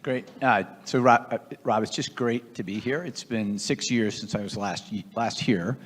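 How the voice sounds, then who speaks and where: American guy in his 50s, really low husky voice, measured storytelling, big echoey room. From Boston area, United States. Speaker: guest, investor. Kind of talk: conversational personal storytelling on stage. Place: large event room at a gathering. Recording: echoey hall with long reverb.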